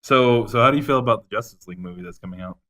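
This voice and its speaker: Laid-back, low-voiced male podcast co-host. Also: rapid-fire, bright, forceful tone. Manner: laid-back, laughs easily, teasing. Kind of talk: casual chat.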